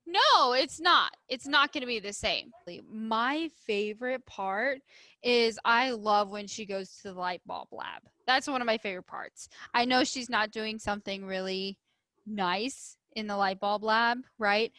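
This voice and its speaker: Lady teacher talking to young kids, slow n bright voice, dry close sound, asks lots of simple questions. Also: fairly high pitch, no echo. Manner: simple explanations with frequent questions to children. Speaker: primary school teacher. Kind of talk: read-aloud and writing lesson for young children.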